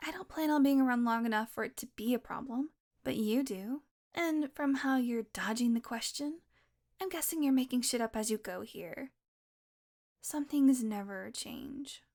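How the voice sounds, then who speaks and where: A sly female vampire, acted by a woman for an intimate audio roleplay. Her voice sits high and she talks in a sarcastic, flirtatious way, slipping into soft murmurs. She sounds hungry, cheeky and defiant. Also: normal speed, lightly acted. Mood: wry, defiant. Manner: flirtatious, sardonic, dropping into breathy murmurs.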